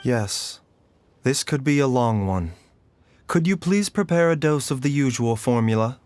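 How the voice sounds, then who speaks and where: An actor playing a calm, courteous doctor who speaks in a measured, formal, precise way, as in a video game. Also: male voice, medium pitch, no echo, lightly acted. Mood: measured, grave. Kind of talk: scripted video game voice acting. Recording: music behind the voice. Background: background music.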